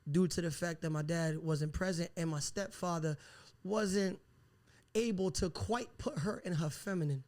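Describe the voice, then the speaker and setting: A man's voice: fairly high pitch, moderate pace. A young man of Puerto Rican roots, a musician guest on a podcast, telling stories animatedly in casual talk. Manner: animated storyteller, acts out voices.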